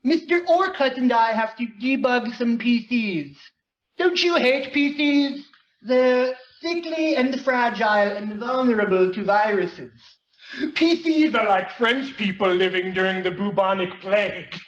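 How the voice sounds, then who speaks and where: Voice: medium pitch, a little slow, pitched above the performer's own voice, natural voice. A performer playing a pompous, nerdy teenage genius boy with a precise, superior manner in a dramatic reading. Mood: condescending. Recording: muffled, noisy, echoey room recording.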